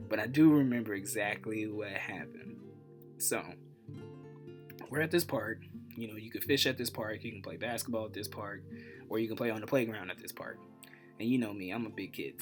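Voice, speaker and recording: A man with a mid-range voice hosts a casual storytime vlog. He talks informally and animatedly, poking fun at himself as he acts out conversations. Faint music plays behind him. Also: medium pitch. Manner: animated retelling, acts out dialogue. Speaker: vlogger, channel host.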